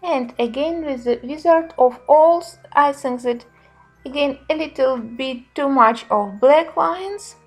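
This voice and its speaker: A woman giving a casual review narration in simple, slightly halting non-native phrasing. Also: high voice, soft background music. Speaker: colouring book reviewer.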